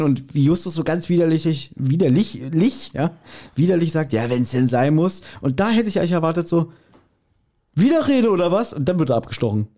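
Mellow, lightly high-pitched man in chat. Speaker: podcast host. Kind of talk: casual chat, opinionated review.

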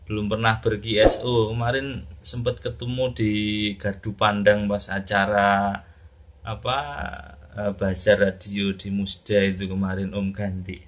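A man's voice: lowish voice, completely flat delivery, measured pace. A man in his thirties with a Javanese regional Indonesian accent, chatting casually as an amateur radio operator and channel host in a small room at home. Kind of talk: casual two-way radio chat to camera. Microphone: camera at arm's length, handheld radio microphone in hand. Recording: noisy room recording with clicks.

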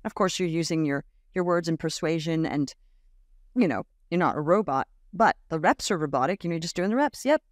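A woman in her fifties, raised in Australia, telling stories as a podcast guest, lively and acting out the voices of others. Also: deep voice, a little fast, no echo, clean studio sound. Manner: animated storyteller, acts out quoted voices, laughs often. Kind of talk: casual interview storytelling. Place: home room with bookshelf. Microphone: large studio mic on boom arm, video call.

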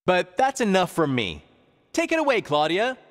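A man voicing an upbeat cartoon quiz show host in a video game, with a theatrical, drawn-out announcer delivery. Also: sits high, wildly swooping pitch. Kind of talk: scripted game show hosting. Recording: reverberant studio with crowd noise.

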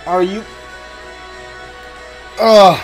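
A man's voice: sits high, fairly even intonation, drawn-out words. A man streaming a video game with casual commentary, muttering to himself in short phrases. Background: game music.

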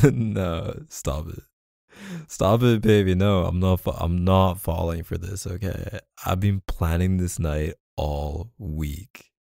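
A man's voice: sits low, creaky, mellow, dry recording, studio quality, exaggerated voice. A man playing a laid-back, apologetic boyfriend in an intimate audio roleplay, talking casually and hesitantly with coaxing pet names. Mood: playful, firm. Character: laid-back, apologetic young boyfriend soothing his neglected partner. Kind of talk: boyfriend ASMR roleplay, casual intimate one-sided dialogue.

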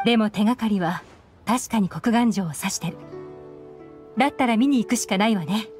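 An actress playing a composed, shrewd young woman investigator in a game, explaining things in a measured way. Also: mid-range voice, a little mellow, lightly acted. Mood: analytical, assured. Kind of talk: Japanese game voice acting. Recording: game music behind the voice. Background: background game music.

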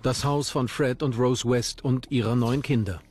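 A man's voice: mid-range voice, fairly even intonation, moderate pace, a little mellow. Male documentary narrator over background music. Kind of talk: scripted true-crime documentary narration.

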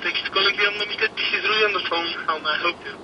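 A man's voice: high voice, rapid-fire, bright, forceful tone. A male customer service agent taking a call, polite and patient but puzzled. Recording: muffled phone line with faint hiss.

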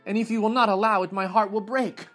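A man voicing a kind, honest young woman in a storybook, soft and earnest as he pleads. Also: sits high, fairly even intonation, fast talker, faint music behind, voice pushed up. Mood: tearful pleading.

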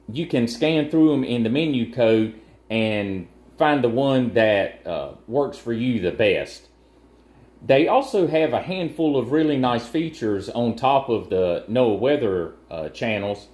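A man's voice: mid-range voice, darkish tone, echoey room. A man presenting a casual gear overview, explaining things in a plain, matter-of-fact way.

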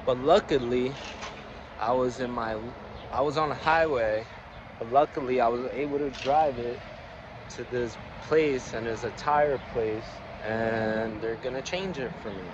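A man's voice: medium pitch, dull audio. A young man talking casually to camera in slangy, sweary vlog style, recorded on a phone at arm's length. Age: young adult. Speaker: vlogger. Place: outdoors at a gas station, later inside a car. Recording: loud outdoor and car noise.

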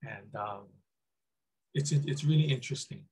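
A man's voice: mid-range voice, unhurried, a little mellow, husky. A middle-aged man from Hawaii, giving a casual, rambling talk over a webcam call, with lots of ums and restarts. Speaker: guest speaker. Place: small room indoors. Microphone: webcam on video call.

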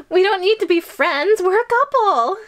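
A woman acts out a lovesick giantess in an audio roleplay. Her voice is high and slow, full of giggles and halting, sugary coaxing, with a possessive menace underneath. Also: fairly bright tone, heavily acted. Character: clingy, lovesick yandere giantess, sweet but menacing.

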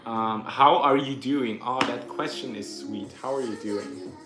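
A man's voice: medium pitch, unhurried, reverberant, over music. A man in his twenties from Austria, hosting a casual livestream from a couch, chatting upbeat and thanking viewers for donations. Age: 20s. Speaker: livestream host. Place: living room couch indoors. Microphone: phone camera propped in front.

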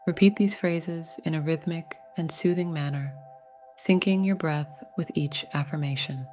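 A woman narrating a scripted guided meditation in a gentle, soothing, measured way. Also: sits low, steady tone, soft background music.

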